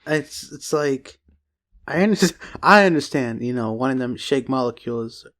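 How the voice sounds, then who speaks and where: A young man with a mid-pitched voice chats as a podcast co-host. His delivery is casual and rambling, loosely strung together and peppered with swearing. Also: expressive, normal speed, a bit bright. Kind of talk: casual chat.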